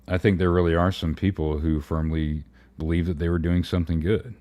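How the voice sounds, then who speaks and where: Deep voiced guy co-hosting a stream, blunt, swears, chatting casual. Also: flat delivery, brisk, warm tone. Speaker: livestream co-host. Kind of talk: casual livestream chat.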